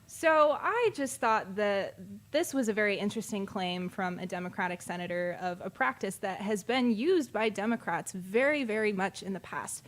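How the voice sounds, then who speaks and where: A woman's voice: medium pitch, moderate pace. Woman co-host walking through her notes. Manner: presents notes, agreeing often, with fillers. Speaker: podcast co-host. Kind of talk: casual political chat.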